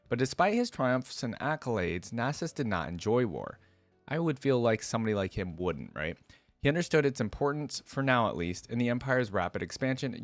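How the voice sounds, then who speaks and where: A man's voice: on the low side, no echo. A man hosting a reaction video, reading aloud and commenting in an informal, conversational way. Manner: informal, conversational asides with frequent "like".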